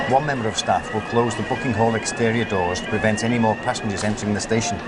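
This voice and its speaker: A man acts as an experienced station supervisor in a staff training film, speaking in a low voice. He instructs a new recruit calmly and methodically, in a scripted way. Loud ambient noise of an urban site sits behind him. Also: lightly acted. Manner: methodical, checks understanding.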